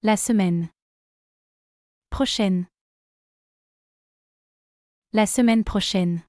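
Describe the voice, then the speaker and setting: A woman slowly and carefully saying short drill phrases twice each for learners to repeat. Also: medium pitch, a little mellow, dry recording, clean studio sound. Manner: careful, deliberate enunciation. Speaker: pronunciation model.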